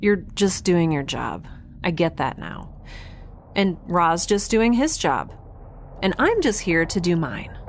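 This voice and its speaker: Quirky young woman scientist, rambling, earnest, very lively intonation, sci-fi audio drama, faint background noise. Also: fairly low pitch, slow pace, full character voice. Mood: weary, threatening. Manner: rambling, babbling, animated, earnest.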